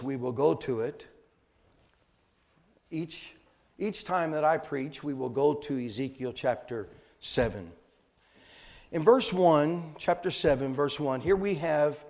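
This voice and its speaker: A man preaching a sermon as a missionary teacher, emphatic, repeating phrases for weight and swinging between hushed line endings and loud stresses. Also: a little high, animated, takes it slowly, long pauses, long reverb tail. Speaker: missionary preacher and Bible translation trainer.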